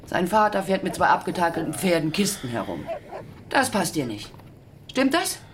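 A woman's voice: fairly low pitch, wildly swooping pitch, moderate pace, a little hiss or hum. A woman playing an irritable, worn-out middle-aged working-class mother in a German radio drama, volatile and theatrical. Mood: scolding, needling.

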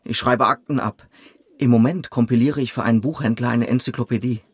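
An actor playing an earnest, modest, good-hearted young man in a German radio drama, with a husky voice and eager manner. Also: medium pitch, steady tone, fairly quick, no echo, studio quality, natural voice. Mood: modestly hopeful.

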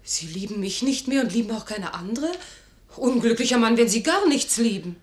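A woman's voice: on the high side, expressive, moderate pace, lightly acted. An actress playing a young noble lady in a 1950s radio play, joyful, playful and stubborn in love, speaking with theatrical feeling. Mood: urgent, tender. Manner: emotional, theatrical, teasing.

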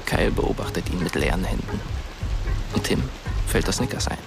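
A deep, creaky male voice narrates as a bitter teenage ghost in a radio drama. He broods over the living, with prominent music underneath. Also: moderate pace. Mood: brooding. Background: music and rain.

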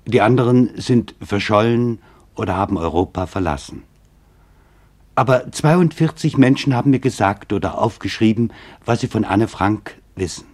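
Solemn husky man narrating a documentary. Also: on the low side, a little mellow. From Germany. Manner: solemn, deliberate, rhetorical phrasing. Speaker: author and narrator. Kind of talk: scripted literary documentary narration read to an audience.

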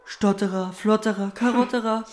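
A woman's voice: medium pitch, steady tone, normal speed, a little mellow, natural voice. A woman voicing a cheeky, self-assured schoolboy who teases and talks boldly. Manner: cheeky, teasing, brash. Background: music.

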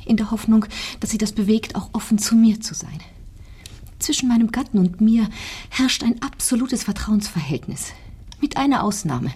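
An actress playing an anxious, self-controlled aristocratic wife in a German radio drama, pleading formally in a breathy, hushed voice. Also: female voice, mid-range voice. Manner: formal, earnest.

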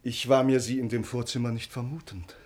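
An actor playing an earnest, brooding count and devoted bridegroom in a formal, declamatory classical German radio play. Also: male voice, mid-range voice, steady tone, a little fast, darkish tone, close to an everyday voice. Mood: tender, solemn.

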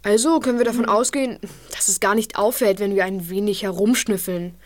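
A woman's voice: medium pitch, fairly even intonation, a little fast, lightly acted. A woman acting a keen, teasing young amateur detective in a children's radio play. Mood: conspiratorial.

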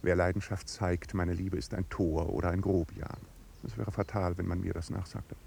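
An actor playing an ironic, haughty duke with polished, dry courtesy in a 1950s German radio play. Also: male voice, deep voice, level tone, moderate pace, gravelly, darkish tone. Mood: cool irony. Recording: 1950s radio recording, faint noise.

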